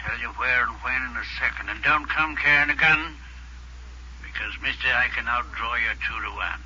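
An actor playing a threatening killer in a 1950s radio drama, giving terse orders through a telephone filter. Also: male voice, mid-range voice, normal speed, crowd noise. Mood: menacing. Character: menacing male gunslinger killer phoning in threats. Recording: band-limited 1950s radio recording, telephone-like sound.

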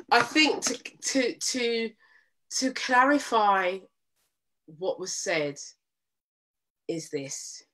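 A woman hosting a relationship talk show, explaining her point at length in a measured, firm way. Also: lowish voice, a bit bright. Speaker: host.

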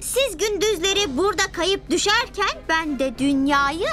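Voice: extremely high pitch, expressive, measured pace. An actor voicing a boastful, taunting young boy genius villain in a children's cartoon dub. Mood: gloating. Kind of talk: animated children's cartoon dubbing. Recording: loud music under the voice. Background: loud cartoon score.

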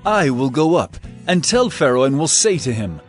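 An earnest male official acted by a man in an audio Bible drama. His voice is fairly high and lively, declaring things over faint music. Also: moderate pace. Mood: eager, earnest.

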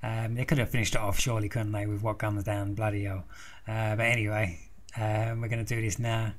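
A young man with a croaky throat giving a rambling, foul-mouthed walkthrough of a war game. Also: lowish voice, little pitch movement, fairly quick. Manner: swears constantly, rambling and chatty. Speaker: gaming video host. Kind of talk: casual profane game walkthrough commentary.

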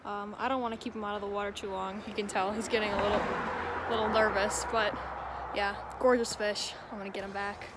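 A woman's voice: medium pitch, flat delivery, brisk, heavy background noise. A young woman chatting casually outdoors, as a fishing vlogger talking to a handheld camera. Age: young adult. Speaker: vlogger and angler. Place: outdoors at a creek bank. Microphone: handheld camera at arm's length.

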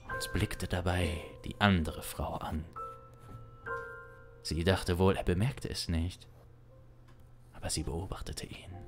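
Very low-voiced young male storyteller, slow, brooding. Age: young adult. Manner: brooding, deliberate, suspenseful. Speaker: improv audio drama narrator. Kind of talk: dramatic improvised storytelling. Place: small home studio, indoors. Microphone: close studio microphone. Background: instrumental music.

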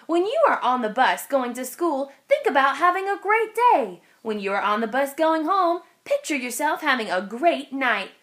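A woman with a high voice reads a children's picture book aloud. Her delivery is expressive and very lively, rising and falling as she tells the story. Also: moderate pace, hardly any pauses. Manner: expressive, animated reading. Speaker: storybook reader.

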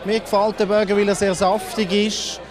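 A man's voice: sits high, level tone, moderate pace, a crowd in the background. A man with a Swiss German accent speaking politely and thoughtfully at a busy venue opening.

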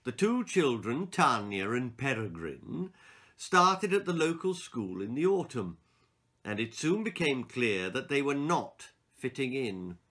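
A man in his sixties reading a children's story aloud in an expressive, theatrical and emphatic way. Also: medium pitch, measured pace. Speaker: author reading his own children's stories. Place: small book-lined room indoors.

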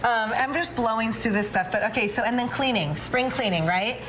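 A woman in her forties, a home improvement expert, giving a bubbly, quick product demo on a morning TV studio set, often tagging "right?". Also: on the high side, noisy background.

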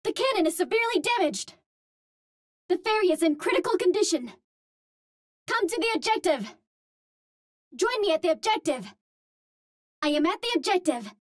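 A cute, earnest young space heroine from a video game, with a strikingly high, girlish voice. She words everything precisely and politely, like formal mission callouts. The sound is dry, with no room around it. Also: sing-song, moderate pace, long pauses, no room sound. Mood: calm, pleased. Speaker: voice actor in a hero shooter game. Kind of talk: video game character voice lines.

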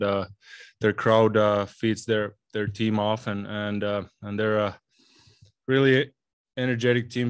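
Male athlete, 20s, postgame presser, table mic, dry room. Low, flat, halting delivery. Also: clean tone, no echo. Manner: halting, many repeated words and fillers. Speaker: hockey player at postgame press conference. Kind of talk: postgame interview answers. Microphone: table mic in front.

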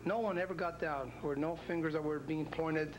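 A man, a head coach, speaking haltingly and reflectively at a press conference. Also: on the high side, fairly even intonation. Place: press room. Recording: press conference with background noise.

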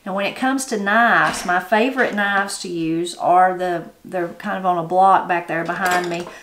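A woman's voice: fairly low pitch, fairly even intonation, moderate pace, a bit bright, a little hiss or hum. A middle-aged woman with a Southern Appalachian accent, chatting casually and ramblingly as a home cook in her kitchen. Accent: Southern Appalachian American. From Appalachia, United States. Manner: homespun, rambling, conversational. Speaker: home cook and channel host. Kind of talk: casual unscripted kitchen talk. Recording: roomy, echoing kitchen sound.

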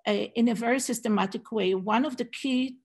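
A woman's voice: a little high, a little fast, vocal fry, a little mellow, breathy edge. A middle-aged woman giving an academic conference talk over a video call, hesitant with many fillers and restarts. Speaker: Hebrew program director presenting at a conference. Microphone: video call webcam.

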